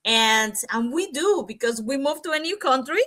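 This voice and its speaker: A woman's voice: sits high, animated, moderate pace, fairly bright tone. A woman from Cuba talking as a panelist in a casual chat, rambling with many fillers and restarts.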